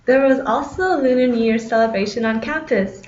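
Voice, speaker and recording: Young US woman, prepared lines, a bit higher voice, echoey dull recording. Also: brisk, darkish tone. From United States. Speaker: student interviewee. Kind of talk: scripted personal account. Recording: roomy, slightly dull audio.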